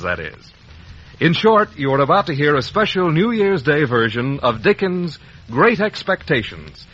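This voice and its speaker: Animated husky male vintage radio announcer. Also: on the high side. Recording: dull vintage radio broadcast.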